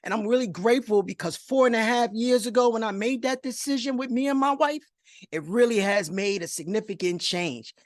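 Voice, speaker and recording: A man's voice: very high voice, fairly quick, dry recording, studio quality. A middle-aged man with a New York accent presenting a motivational online webinar, speaking emphatically, repeating phrases and checking in with his listeners. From New York, United States. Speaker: host of an online investing masterclass. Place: online video call.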